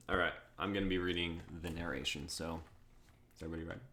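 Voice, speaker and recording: A man's voice: deep voice, steady tone, quick pace. A man chatting casually and reading script narration on an online stream. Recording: clearly noisy mic with keyboard clicks.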